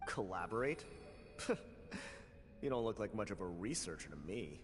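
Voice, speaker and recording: A man's voice: lowish voice, very animated, moderate pace. A man playing a scornful, condescending researcher in a video game, recorded with long reverb. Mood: mocking. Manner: mocking, condescending. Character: scornful, dismissive male researcher. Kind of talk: game voice acting. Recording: long reverb under loud music.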